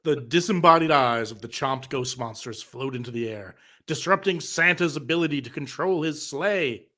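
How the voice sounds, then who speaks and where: A man narrates a cartoon script in a live reading. His voice is fairly high for a man, with a bright, forceful tone. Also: moderate pace. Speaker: narrator at a table read.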